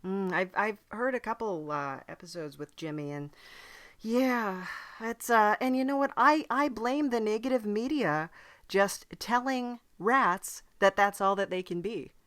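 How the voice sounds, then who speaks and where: A woman's voice: mid-range voice, unhurried, a bit bright, no echo. A woman hosting a casual comedy podcast interview, chatty, agreeable and a little hesitant. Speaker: podcast host.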